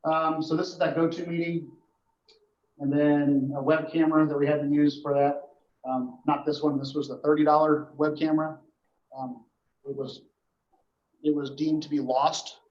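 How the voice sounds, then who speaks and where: A man gives an informal report to a board at a meeting, explaining things in a halting, matter-of-fact way. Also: fairly high pitch, little pitch movement, darkish tone. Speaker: emergency dispatch official reporting to a board. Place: indoor meeting room. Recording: echoey meeting room, dull muffled audio.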